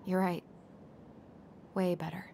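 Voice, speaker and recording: A woman's voice: lowish voice, flat delivery, takes it slowly, noisy background. An actress playing an earnest, conciliatory young small-town woman with an American accent in a video game, speaking conversationally. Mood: soft, friendly. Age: young adult. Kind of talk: video game dialogue acting.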